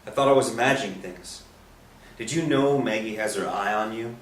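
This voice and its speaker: A man's voice: fairly low pitch, a little fast, close to an everyday voice. A man playing a sly priest who teases and provokes, in a live reading of a stage play. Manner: teasing, provocative. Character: Father Frank, sly, provocative male priest, needling others. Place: echoey indoor stage or hall. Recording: echoey room, distant mic, faint hiss.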